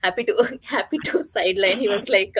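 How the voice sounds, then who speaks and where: A woman giving her views casually in a group book discussion, with halting phrasing. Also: mid-range voice, breathy edge, dry recording.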